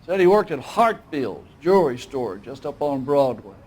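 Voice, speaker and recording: An actor with an American accent playing a folksy, obliging gun store owner in a video game, chatting informally. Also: male voice, medium pitch, sing-song, moderate pace, a little mellow, a little hiss or hum, natural voice, rough recording. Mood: chatty, matter-of-fact.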